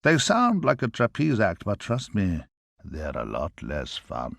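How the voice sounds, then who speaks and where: An actor playing a wry, chatty, overworked grim reaper in a video game, with a theatrical manner. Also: male voice, lowish voice, wildly swooping pitch, measured pace, creaky, a bit bright, no room sound, full character voice. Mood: wry, confiding. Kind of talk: scripted video game character acting.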